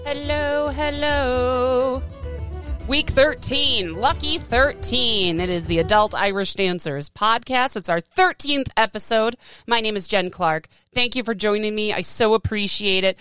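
Clear, smooth-voiced American woman podcaster. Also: medium pitch, normal speed, fairly bright tone, no room sound, soft background music. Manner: enthusiastic, self-deprecating, informal. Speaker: podcast host. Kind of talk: casual chatty solo podcast talk.

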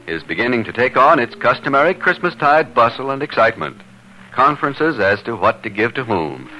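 A man's voice: fairly low pitch, sharp tone, a little hiss or hum, rough recording. A man announcing in a polished, declamatory 1950s radio style, reading scripted narration and sponsor messages.

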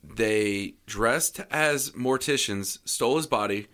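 A man co-hosting a casual chat show, reading trivia and joking crudely and loudly. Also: fairly low pitch, slow pace. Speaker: podcast co-host.

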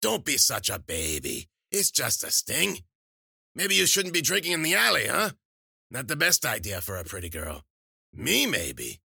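A man voicing a crazy old witch woman in an audiobook, a gleeful, crude bully who taunts and cackles loudly. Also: mid-range voice, wildly swooping pitch, measured pace, vocal fry, slightly rough, no room sound, clean studio sound, pitched above the performer's own voice, full character voice. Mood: mocking, scolding.

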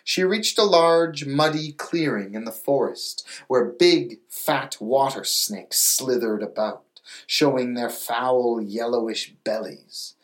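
A man's voice: mid-range voice, takes it slowly. A man reading a storybook aloud as a narrator, mixing fairy tale narration with casual, wry asides. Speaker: storybook reader.

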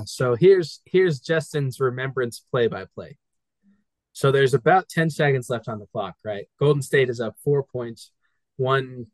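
A man's voice: mid-range voice, no echo, rough recording. A man co-hosting a casual sports podcast, eagerly walking through a game's final play. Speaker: guest co-host.

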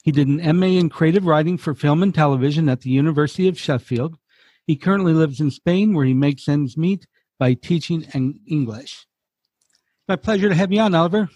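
A man's voice: on the high side, a little fast, a little mellow, dry recording. A man hosting a podcast, reading introductions and asking friendly, upbeat questions. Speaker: podcast host. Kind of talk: interview hosting, scripted reads.

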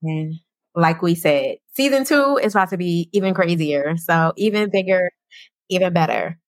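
A woman's voice: lowish voice, lively intonation, normal speed, no echo. A young adult woman co-hosting a podcast, chatting casually in slang and laughing often. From New Jersey. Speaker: podcast co-host.

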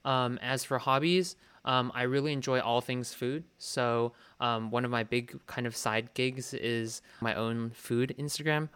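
A man's voice: medium pitch, clear, resonant tone. A man in his twenties, co-hosting a podcast remotely, talking casually with plenty of ums. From Colorado. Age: 20s. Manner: relaxed, many ums. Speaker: podcast co-host. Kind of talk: casual podcast chat, partly read. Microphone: remote home mic.

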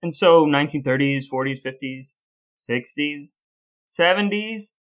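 A man's voice: fairly high pitch, unhurried, fairly bright tone. An American man co-hosting a podcast in a conversational style. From United States. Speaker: podcast co-host.

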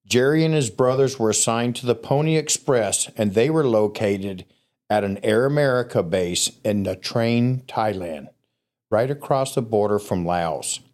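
A man's voice: lowish voice, a little slow. A man recounting stories he gathered, in a casual, rambling way with fillers, and a scratchy throat that makes him cough. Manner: relaxed, rambling with fillers and restarts. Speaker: guest storyteller. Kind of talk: casual storytelling on a podcast.

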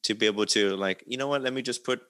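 A man's voice: medium pitch, fast, fairly bright tone. A man hosting a conversational interview, asking wordy, reflective questions in a roundabout way. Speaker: interview host. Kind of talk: conversational long-form interview.

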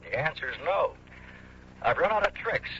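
A man's voice: a little high, sing-song, moderate pace, pushed and bright, heavily acted. A male actor playing a sardonic, grumbling New York police lieutenant in a 1960s radio drama. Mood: exasperated. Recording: dull, hissy old radio broadcast recording.

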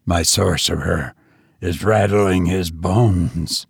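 A man's voice: deep voice, takes it slowly, vocal fry, breathy edge, dry recording, studio quality, pitched below the performer's own voice, heavily acted. A man voicing an insane, murderous tavern host who escaped from prison, roaring and laughing madly. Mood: menacing. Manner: roaring, mad mirthless laughter.

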